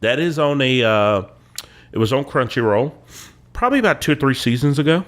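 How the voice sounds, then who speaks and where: A young man with a congested voice from a cold, chatting casually as a podcast co-host, unhurried and full of "uh" pauses. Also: medium pitch. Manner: relaxed, drawling, with many "uh" pauses. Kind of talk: casual chat.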